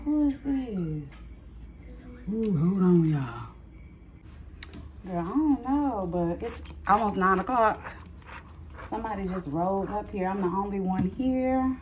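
A woman, chatting casually to the camera from a salon and then from a parked car at night, animated and talkative. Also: medium pitch. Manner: chatty, animated storytelling, addresses viewers as girl. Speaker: hairstylist vlogger. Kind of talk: casual vlog chat to camera. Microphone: phone camera held close.

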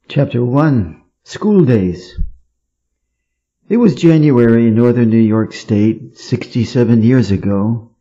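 Dark-voiced American man reading a storybook. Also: mid-range voice, husky, highs cut off. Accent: American Midwest. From Wisconsin, USA. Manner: careful, evenly paced read-aloud. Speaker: audiobook reader for English learners. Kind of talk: slow clear storybook reading.

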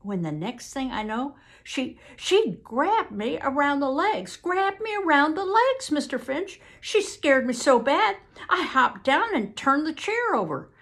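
A woman voicing a nervous, polite young rural man from the American South in an audiobook, halting and earnest. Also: high-pitched, sing-song, a bit bright, pitched above the performer's own voice, exaggerated voice. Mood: agitated, pleading. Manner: halting, earnest, deferential, folksy. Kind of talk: audiobook character acting.

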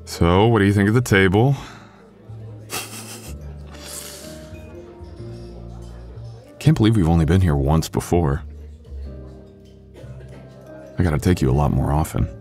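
A man playing a sweet, apologetic, devoted young husband in an intimate boyfriend ASMR roleplay, with a halting, tender, self-deprecating delivery. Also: sits low, darkish tone, soft background music. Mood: apologetic, loving.

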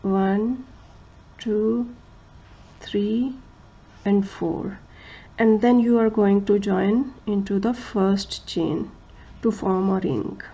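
A woman who speaks English as a second language gives a crochet tutorial, talking slowly and carefully, with many fillers and restarts, and counting stitches aloud. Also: medium pitch, steady tone, dark tone, breathy edge, dull audio. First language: not English. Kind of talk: step-by-step craft tutorial.

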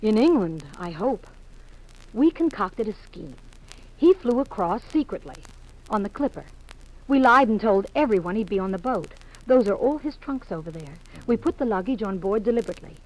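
A woman's voice: medium pitch, expressive, normal speed, lightly acted, poor audio. An actress playing an anxious, hesitant married woman with an imploring manner, in 1950s radio drama style. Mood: worried.

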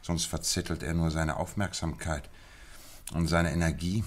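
A man acting as a methodical detective in a radio play, with a low voice. He lectures precisely and with complete self-assurance, laying out his reasoning step by step. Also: fairly even intonation, normal speed, close to an everyday voice. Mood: lecturing. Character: confident, methodical detective explaining his deductions.

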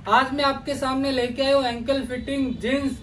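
A man in his thirties presenting jeans to camera in a large clothing store, in an energetic hard-selling pitch that keeps calling viewers brother. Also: normal speed, far from the mic, low-quality recording. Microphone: camera mic at a distance. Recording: voice from off mic with faint room noise.

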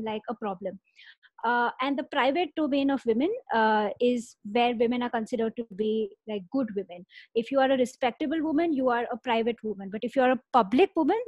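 A woman's voice: on the high side, darkish tone, no room sound. A young woman on a webinar panel, talking earnestly with many fillers, on an earphone mic from her bedroom. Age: young adult. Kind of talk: webinar discussion. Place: bedroom at home. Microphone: earphone mic on a video call.

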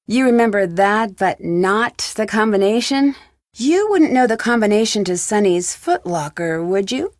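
An inquisitive young female detective from a video game, with a fairly high voice and very lively intonation. She puts her questions and replies crisply, with a dry wit, in a close, dry recording. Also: no room sound. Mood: probing. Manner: inquisitive, crisp, lightly wry. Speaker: video game lead character.

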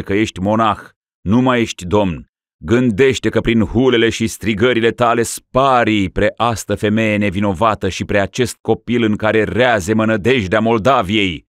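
A man's voice: very deep voice, little pitch movement, a little mellow, dry recording, studio quality. A man playing a stern old bishop. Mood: rebuking. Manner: solemn, rebuking. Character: stern elderly male metropolitan bishop.

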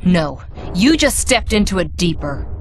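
A woman's voice: on the low side, sing-song, unhurried, faint music behind. A woman playing a hurt, betrayed cop, grave and accusing, in a video game cutscene. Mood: hurt accusation. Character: hurt, betrayed female cop confronting a traitor.

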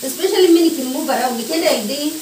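A woman's voice: high voice, lively intonation, rapid-fire, very noisy. A young Kenyan woman chatting casually and teasing while she cooks at home, mixing slang and English. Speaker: vlogger. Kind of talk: casual chat while cooking. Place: small home kitchen, indoors. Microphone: camera on the counter, a few feet away. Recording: echoey kitchen, far mic, loud sizzling. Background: frying and sizzling pans.

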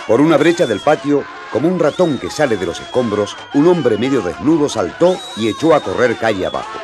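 A man's voice: medium pitch, very animated, brisk, over music. A man narrating a radio drama as a vivid, dramatic storyteller. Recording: crowd noise behind.

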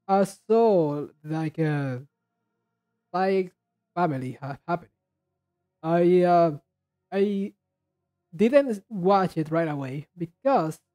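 High-voiced man, halting and rambling. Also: warm tone. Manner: halting, rambling, repeats and restarts words. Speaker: reaction video host. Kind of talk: casual commentary on game trailers.

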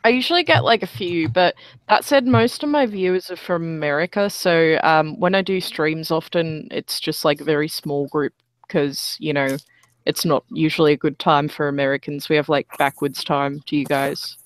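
A woman's voice: deep voice, moderate pace. A woman chatting on a group voice call, rambling with fillers and laughing often. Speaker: online video creator on a group call. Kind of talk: casual group chat.

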